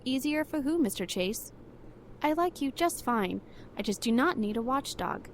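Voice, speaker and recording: A woman playing a haughty, sharp-tongued young 1930s heiress, formal and dismissive, in a noir audio drama. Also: high voice, expressive, moderate pace, a little hiss or hum. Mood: cool, teasing.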